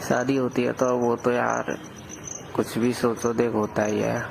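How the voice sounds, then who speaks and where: A man's voice: mid-range voice, flat delivery, a little slow, vocal fry, noisy background. A young man playing a coaxing, suspicious lover who probes his sweetheart, as if on an intimate phone call. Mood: coaxing, curious. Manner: coaxing, probing. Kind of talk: intimate phone-call dialogue in audio drama.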